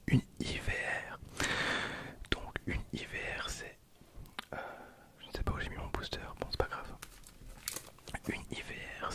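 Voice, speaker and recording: A man speaks in a breathy, unvoiced whisper, giving informal ASMR commentary. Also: very high-pitched. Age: 20s. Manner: informal, murmured running commentary. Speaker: ASMR video creator. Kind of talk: whispered ASMR with casual commentary on trading cards.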